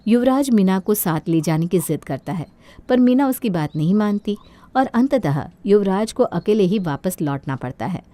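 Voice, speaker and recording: A woman's voice: medium pitch, lively intonation, moderate pace, mellow. A woman reading scripted storytelling narration for a cartoon moral story.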